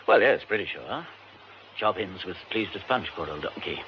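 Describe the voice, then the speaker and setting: A man's voice: deep voice, animated, moderate pace. An actor playing a jaunty, self-mocking British theatre man in a radio drama, wordy, hesitant and wry. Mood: affable. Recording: muffled radio broadcast, faint noise.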